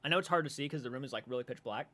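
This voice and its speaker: A man in his twenties hosting a casual livestream, reading viewer comments aloud and reacting with animated, swearing banter. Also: mid-range voice, very fast. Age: 20s. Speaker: livestream host. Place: dark room at home.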